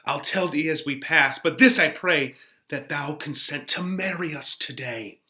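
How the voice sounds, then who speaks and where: A man's voice: a little high, a little slow, bright, forceful tone, voice pushed up. A man playing a lovestruck, eager young man, declaiming Shakespearean verse effusively. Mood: ardent, pleading. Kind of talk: dramatic reading of Shakespearean verse.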